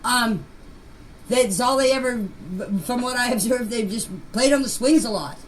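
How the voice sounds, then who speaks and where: High-voiced older woman, plain-spoken, noisy recording. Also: moderate pace. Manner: plain-spoken, folksy, repeats phrases. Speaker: grandmother recounting memories. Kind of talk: informal personal recollection. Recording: clear background noise, amateur voice clip.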